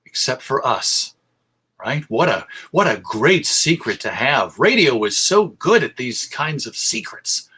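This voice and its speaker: A man's voice: mid-range voice, big pitch swings, measured pace, bright, forceful tone, husky. A man giving a history talk as presenter, telling it like a story in an emphatic, conversational way. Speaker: presenter, radio show host. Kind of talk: illustrated history talk to an audience.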